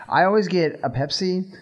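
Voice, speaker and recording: A man's voice: high-pitched, unhurried. A young man with a Texan accent, co-hosting a college radio show in casual, rambling chat. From Texas. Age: young adult. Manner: relaxed, rambling with many "like" fillers.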